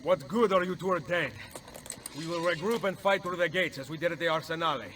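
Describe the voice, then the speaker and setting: An actor playing a composed, shrewd Italian assassin hero in a video game, speaking with an Italian accent in a purposeful way. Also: male voice, on the high side, fairly quick, fairly bright tone, a little hiss or hum, close to an everyday voice. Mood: urgent. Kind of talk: dramatic video game acting.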